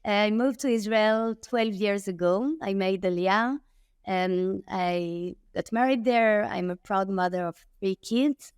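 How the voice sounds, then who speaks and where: A woman's voice: medium pitch, slow, a little mellow, clear, resonant tone, dry recording, studio quality. A woman in her thirties from France with a French accent, speaking earnestly and emphatically as a guest on a remote interview. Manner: earnest, emphatic, with frequent restarts and "okay?" asides. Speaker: guest, tech entrepreneur.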